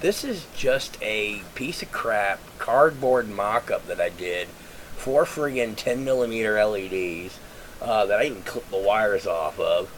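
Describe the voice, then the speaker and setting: A man with a raspy, congested voice, talking casually into his webcam and rambling through ideas with many fillers and restarts. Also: lowish voice, a little slow, slight background noise, rough recording. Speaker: vlogger.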